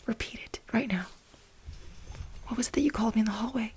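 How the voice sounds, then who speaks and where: A woman playing a flustered, aggrieved female assistant in a soft-spoken ASMR role play, halting and dramatic, repeating herself for emphasis in a breathy whisper. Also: a little high, slight background noise, natural voice. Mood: accusing.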